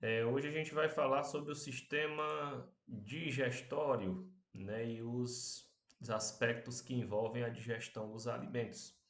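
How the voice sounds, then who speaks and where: A Brazilian man lectures slowly over a video call, keeping his voice low because he has a cold and a sore throat. His voice sits in an ordinary middle pitch, and he often ends with tag questions. The sound carries a roomy echo. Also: fairly even intonation. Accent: Brazilian Portuguese. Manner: speaks softly, slow and unhurried, many tag questions. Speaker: teacher. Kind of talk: online class lecture.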